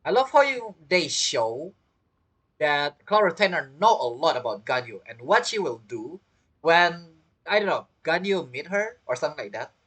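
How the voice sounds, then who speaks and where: A woman's voice: low-pitched, big pitch swings, moderate pace, fairly bright tone, breathy edge. Laughing woman reacting to a game. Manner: laughs often, chatty, broken English. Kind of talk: casual reaction commentary.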